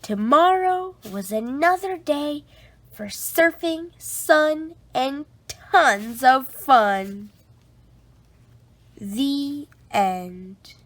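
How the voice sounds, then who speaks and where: Woman, high-pitched, very slow pace, expressive children's read-aloud, storyteller. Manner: animated, drawn-out delivery for young children.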